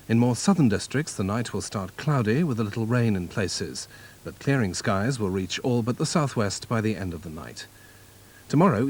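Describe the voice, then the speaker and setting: A man with a British accent making scripted television continuity announcements. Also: lowish voice. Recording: faint tape hiss.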